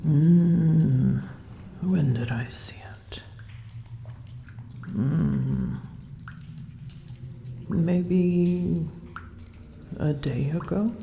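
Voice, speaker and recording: A woman voicing a weary, aloof and vain female dragon, speaking slowly in a languid, often whispered ASMR roleplay. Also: low-pitched, creaky, highs cut off, slight background noise, heavily acted. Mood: musing. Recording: roomy echo with loud ambient drips.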